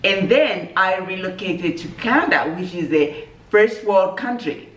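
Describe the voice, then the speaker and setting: A woman from Zambia, chatting casually on her vlog in a relaxed, measured way and laughing easily. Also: medium pitch. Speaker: vlogger. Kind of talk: casual chat. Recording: echoey room, mic at a distance.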